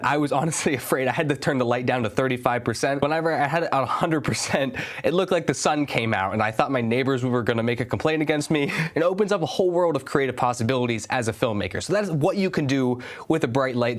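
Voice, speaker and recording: A young man with a stuffy, congested voice, giving a casual, enthusiastic review of a studio light. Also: mid-range voice. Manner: casual, enthusiastic about gear. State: stuffy, under the weather. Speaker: gear reviewer.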